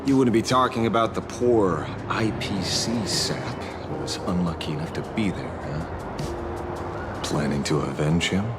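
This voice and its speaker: A man's voice: low-pitched, unhurried, over loud music. A man playing a cynical, cagey investigator in a video game, with a sardonic, teasing drawl. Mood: sly probing. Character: sly, cynical male investigator, taunting and cagey.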